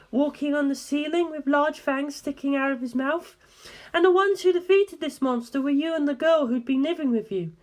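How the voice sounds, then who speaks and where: A woman acts out a young woman from an audiobook story, high in pitch and sure of herself. She talks at length, assertive and knowing, with a teasing edge. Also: close to an everyday voice. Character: Darlington, sharp teasing young English woman. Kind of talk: dramatic audiobook character dialogue.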